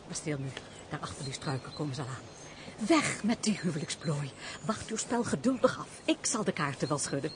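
Noblewoman, highly expressive pitch, old-fashioned formal diction, radio play, slightly noisy recording. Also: moderate pace. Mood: teasing. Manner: formal, archaic, expressive speech. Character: lively, teasing, compassionate noblewoman, a countess. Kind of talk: theatrical Dutch radio play acting.

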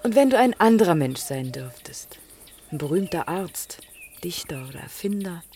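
An actress playing a teasing, inquisitive young student in a naturalistic radio play, probing with questions. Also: female voice, lowish voice, sing-song, normal speed, a little mellow, slight background noise. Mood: playful, probing. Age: young adult.

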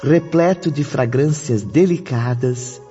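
Slow, solemn Brazilian man narrating over music. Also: medium pitch, warm tone. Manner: solemn, literary storytelling. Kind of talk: scripted dramatic radio drama narration. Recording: dull lo-fi, music behind. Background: prominent background music.

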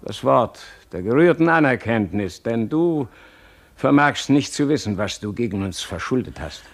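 A man's voice: medium pitch, animated, normal speed. An actor playing a stern, pious, authoritarian king and father in a classical radio drama, with a solemn, declamatory and commanding manner. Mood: imperious.

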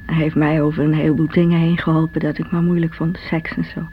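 A woman's voice: sits low, level tone, fast, a little hiss or hum, lightly acted. Envious, brooding woman in dull radio recording, acted. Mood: reflective. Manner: confiding, brooding recollection. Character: Irene, envious, bitter divorced sister, self-doubting. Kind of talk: radio drama monologue.

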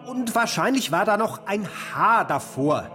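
An actor playing a naive, defensive small-time crook who protests innocence in a radio play. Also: male voice, high voice, big pitch swings, measured pace, bright, forceful tone, faint music behind, exaggerated voice. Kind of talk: German radio play acting.

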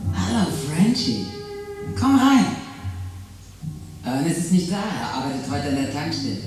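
Low-voiced seductive woman. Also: very animated, a little slow. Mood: casual, inviting. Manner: flirtatious, coaxing. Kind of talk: German radio drama acting. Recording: echoey room with music behind.

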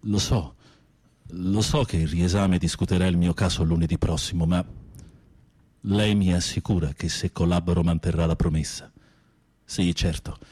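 A deep, husky, wistful male prisoner. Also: fairly even intonation, moderate pace, darkish tone. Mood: furtive, pleading. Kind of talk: radio drama acting.

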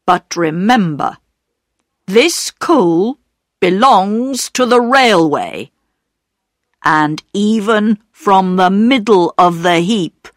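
A woman voicing a stern, accusing male railway station master in a storybook, speaking deliberately. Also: mid-range voice, expressive, no room sound, clean studio sound. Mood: stern warning. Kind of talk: storybook character voice.

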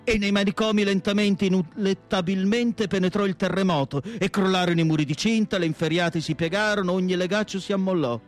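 A male actor playing a tormented asylum psychiatrist in a solemn, deliberate radio monologue with dramatic pauses. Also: high voice, steady tone, soft background music, lightly acted. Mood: grave, emphatic. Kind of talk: dramatic literary monologue, theatrical radio acting.

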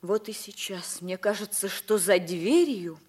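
A woman's voice: medium pitch, a little slow, a little mellow, breathy edge. An actress playing a proud, wounded young woman of principle in a theatrical 1950s Soviet radio drama. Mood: resolute, sad.

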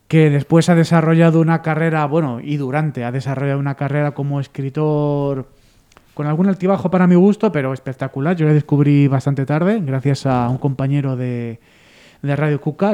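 A man co-hosting a radio talk show, enthusiastically recommending a novel with halting pauses and fillers. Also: a little high, dark tone, clear, resonant tone. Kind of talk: casual radio chat recommending books.

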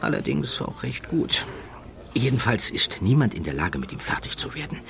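A man voicing a discreet, flattering gentleman passenger, courteous and confiding, in an audiobook. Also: medium pitch, normal speed, gravelly, pitched above the performer's own voice. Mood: confiding, inviting. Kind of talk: audiobook character dialogue.

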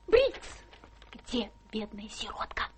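An actress playing a timid, flustered, sentimental servant-companion in radio-drama style, husky and breathy, with a hesitant, tremulous manner. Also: female voice, on the high side, huge pitch swings, slow, darkish tone, a little hiss or hum, heavily acted. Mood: solemn, tearful. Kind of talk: stage-trained radio drama acting.

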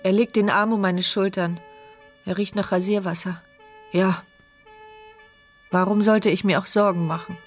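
An actress as a wounded woman in a radio thriller, telling the story from inside her head. She is sardonic and watchful, with a medium-pitched voice over faint music. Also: fairly even intonation, a little slow, long pauses, close to an everyday voice. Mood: bitter, weary. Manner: inward, sardonic monologue. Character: injured, mute woman narrating her thoughts, wry and wary. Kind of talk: first-person radio crime drama narration.

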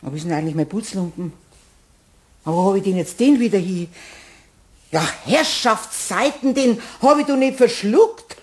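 A woman's voice: medium pitch, expressive, moderate pace, darkish tone, lightly acted. A woman playing a flustered, grumbling cleaning woman with a Bavarian accent in a German radio play, exclaiming and muttering. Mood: indignant, flustered.

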